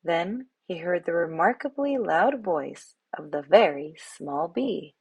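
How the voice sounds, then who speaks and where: A woman storyteller reads a picture book for children at an unhurried pace. Her voice is husky with some creak, and she reads expressively. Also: fairly low pitch, animated, darkish tone, no echo, highs cut off. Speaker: read-aloud host, storyteller.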